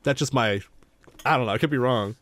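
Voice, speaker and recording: A man co-hosting a film podcast in casual chat, chatty and quick to laugh at himself. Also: mid-range voice, fairly bright tone. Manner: chatty, laughs often, self-deprecating. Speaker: podcast co-host reviewing films.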